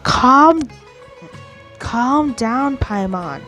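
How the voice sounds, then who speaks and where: A woman giving casual gameplay commentary in a halting way, sometimes repeating phrases. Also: high voice, lively intonation, over music. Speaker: gamer commenting on a playthrough.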